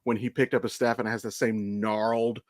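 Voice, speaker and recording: A man's voice: lowish voice, level tone, brisk, no room sound, studio quality. A man co-hosting a casual podcast, explaining his opinions as a fan with the odd swear word. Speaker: podcast co-host. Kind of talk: casual chat, opinionated pop-culture commentary.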